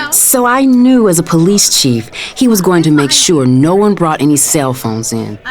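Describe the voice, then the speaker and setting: A woman playing a calculating, vengeful middle-aged mother, narrating a drama in the first person with deliberate storytelling. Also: deep voice.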